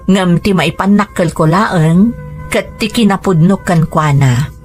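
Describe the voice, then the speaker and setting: A woman's voice: fairly low pitch, unhurried, a little mellow, faint music behind. A woman with an Ilocano accent reading a scripted first-person drama narration. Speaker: storyteller.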